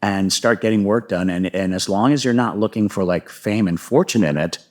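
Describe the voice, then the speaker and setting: A middle-aged man with an American accent, chatting earnestly and at length as an interview guest, telling stories in an animated way. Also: on the low side, a little fast. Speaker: podcast guest, filmmaker and puppeteer. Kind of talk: casual interview chat.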